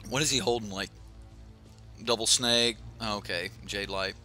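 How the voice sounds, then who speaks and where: A man's voice: on the low side, fairly even intonation, measured pace, bright, forceful tone, soft background music. A young man casually commentating his own card game on a live stream, thinking aloud with lots of fillers and restarts.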